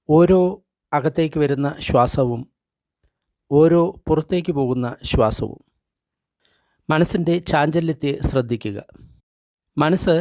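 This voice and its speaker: Male meditation guide, slightly high voice, gentle deliberate phrasing, dry close recording. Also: dry recording. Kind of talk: guided meditation narration, translated text read aloud.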